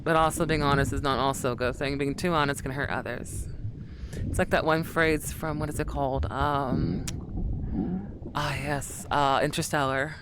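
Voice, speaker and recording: A woman's voice: sits low, fairly even intonation, moderate pace, background noise. A woman streaming and chatting casually with her viewers, rambling and calling them sweetie.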